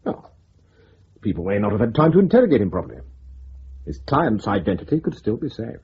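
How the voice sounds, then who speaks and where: An actor playing an unruffled senior spymaster in a radio drama, reasoning with calm authority. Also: male voice, lowish voice, brisk, slightly rough, heavily acted. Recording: dull lo-fi recording.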